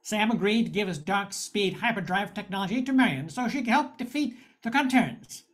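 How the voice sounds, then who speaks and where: A woman's voice: medium pitch, animated, fairly quick, natural voice. A woman playing a wounded, wistful noir hero who narrates an amateur space radio play.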